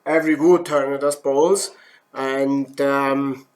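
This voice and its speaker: A man's voice: mid-range voice, steady tone, slow, a little mellow. A middle-aged man from German-speaking Switzerland with a Swiss German accent, a professional wood turner hosting a live-stream demo from his workshop in English, his third language, with many fillers and self-corrections.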